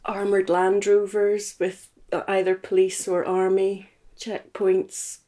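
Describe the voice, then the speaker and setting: A woman's voice: medium pitch, monotone, slow, dark tone. A woman in her late forties with a Northern Irish accent, reminiscing casually as an interview guest in a thoughtful, slightly hesitant way. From Belfast, Northern Ireland. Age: late 40s. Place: indoors in a house.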